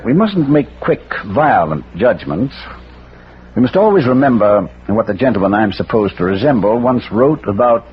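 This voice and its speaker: Gently wry academic sleuth played by a man for 1940s radio: animated, measured, literary, on a dull vintage recording with music. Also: medium pitch, natural voice. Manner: hesitant, erudite, measured, given to recitation. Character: bearded scholarly schoolmaster and amateur sleuth, gently wry.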